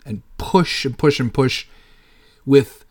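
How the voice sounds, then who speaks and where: A man's voice: medium pitch, takes it slowly. A man in his late thirties hosting a podcast solo, talking casually in a rambling way and swearing freely. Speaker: podcast host.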